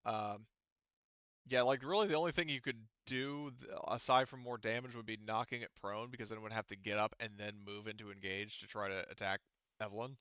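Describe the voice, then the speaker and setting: A man with a crisp, bright voice leads a tabletop game as game master. He explains options in a casual way and thinks out loud. The recording is close and slightly dull. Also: lowish voice, steady tone, fairly quick, dry recording.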